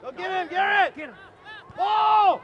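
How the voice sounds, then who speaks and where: Male sideline supporter, very high voice, urgent short shouts, lively swings, outdoor field recording with heavy noise. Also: measured pace, muffled recording, over a noisy crowd. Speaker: sideline supporter at a youth flag football game. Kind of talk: shouted sideline encouragement and play calls. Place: outdoor sports field at night. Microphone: camera microphone on the sideline.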